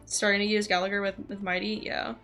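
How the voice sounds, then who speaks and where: A young woman livestreams a game in a casual, rambling way. Her voice is bright and mid-pitched with a creaky edge, and faint game music plays behind her. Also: flat delivery, fast talker. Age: young adult. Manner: rambling, chatty, giggly. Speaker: game streamer. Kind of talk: casual livestream chat while gaming.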